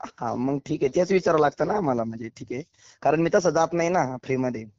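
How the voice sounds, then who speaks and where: A man's voice: fairly high pitch, lively intonation, fast, darkish tone. A man with a Maharashtrian accent in a casual phone conversation, answering in brief, noncommittal replies. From Maharashtra, India. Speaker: actor answering a phone call. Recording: dull lo-fi phone call.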